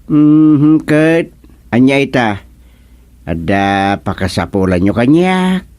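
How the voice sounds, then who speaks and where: A man acting an easygoing village chairman in an Ilocano radio comedy drama, with drawn-out interjections and hums. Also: mid-range voice, animated, darkish tone. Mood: attentive, curious. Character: easygoing male village chairman who advises and reassures.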